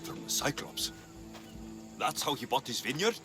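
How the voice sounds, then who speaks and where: An actor playing a gruff, sardonic young Greek mercenary in a cinematic video game, blunt and menacing. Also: male voice, medium pitch, big pitch swings, normal speed, over music, lightly acted. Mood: surprised, annoyed.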